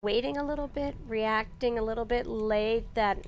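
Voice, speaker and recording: A woman's voice: on the high side, steady tone, normal speed, lo-fi sound, a little hiss or hum. A woman giving confident, analytical color commentary on a tennis match. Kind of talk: sports commentary.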